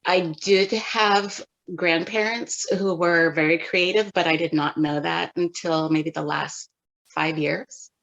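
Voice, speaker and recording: Woman, middle-aged, from California, gravelly, slow, thoughtful, dull lo-fi audio. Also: lowish voice, fairly even intonation, a bit bright. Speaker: guest photographer. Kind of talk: measured interview answers.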